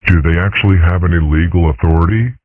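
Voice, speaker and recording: A man voicing an unflappable, mischievous dragon father in a comic dub, husky, blunt and nonchalant. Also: sits low, fairly even intonation, fast, no echo. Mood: cheerfully casual. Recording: muffled narrow-band lo-fi audio.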